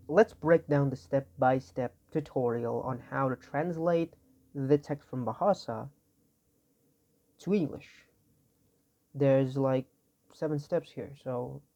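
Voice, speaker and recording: A young man with an Indonesian accent gives a loose tutorial, his voice raspy from not sleeping, and he rambles and keeps correcting himself. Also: medium pitch, a little mellow. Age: young adult. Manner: tired, rambling, self-correcting. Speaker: student. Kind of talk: informal tutorial explanation.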